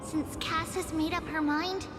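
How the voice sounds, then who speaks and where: A woman's voice: very high voice, steady tone, a little slow, echoey room, big-room sound, music in the background. A woman playing a small, determined girl in a video game, earnest and firm as she explains. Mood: earnest, reassuring. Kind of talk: scripted video game acting.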